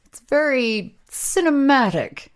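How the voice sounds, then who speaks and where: A woman's voice: sits high, animated, slow. Woman playing a dryly sardonic camera operator. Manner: dry, sardonic. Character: deadpan, capable female camera operator, mildly sarcastic. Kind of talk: radio play acting.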